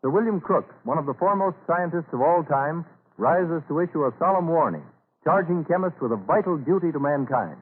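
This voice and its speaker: An American man narrating as a radio announcer, in the formal, measured, scripted style of the 1930s. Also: fairly high pitch, animated, breathy edge. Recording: tinny old radio recording.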